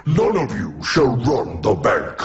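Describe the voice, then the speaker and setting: A man's voice: on the low side, very animated, slow pace, heavily acted. A man voicing a scheming villain leader who speaks in a cold, commanding and scornful way. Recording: dull lo-fi with noise.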